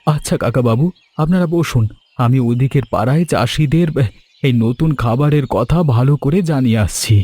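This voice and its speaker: A man voicing an eager, helpful younger village man in a cartoon, with an animated, solicitous manner. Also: mid-range voice, normal speed, no echo, soft background music, natural voice. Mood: encouraging. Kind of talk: animated moral story dubbing.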